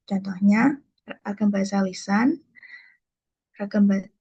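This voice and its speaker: A woman's voice: medium pitch, slow pace, dark tone, slightly rough, muffled recording. A young woman from presenting in an online class, explaining casually. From Kediri, East Java. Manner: casual explanatory, fillers like gitu. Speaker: student presenter.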